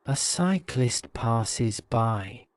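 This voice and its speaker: A man narrating a guided-imagery sleep story in a soothing, measured manner. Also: mid-range voice, lively intonation, clear, resonant tone, no echo.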